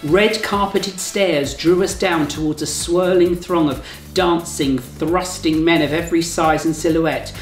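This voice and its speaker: An English man narrates a novel with an expressive storyteller's delivery. The recording has a roomy echo, with faint music underneath. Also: a little high, measured pace. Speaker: author reading from own novel. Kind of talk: scripted first-person narration, audiobook reading.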